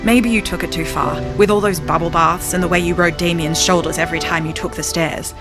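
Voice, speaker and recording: A woman's voice: lowish voice, fairly even intonation, brisk, lightly acted. A woman playing a wise, self-assured talking poodle prophet in a comedic audio drama, speaking with grand, kindly earnestness. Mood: earnest storytelling. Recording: music behind.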